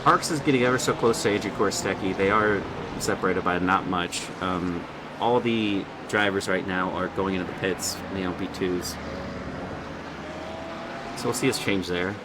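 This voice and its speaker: A man's voice: sits low, moderate pace, background noise. A man giving casual, conversational commentary on a sim race, speaking in a loosely improvised way.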